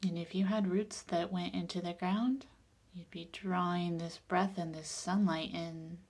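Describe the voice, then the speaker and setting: A woman leading a guided meditation in a calm, soothing, unhurried way, her soft voice turning breathy at the ends of phrases. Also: lowish voice.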